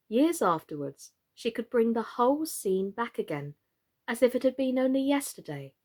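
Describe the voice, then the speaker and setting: A woman reading a children's story as expressive scripted narration. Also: a little high. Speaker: storybook reader, professional actress.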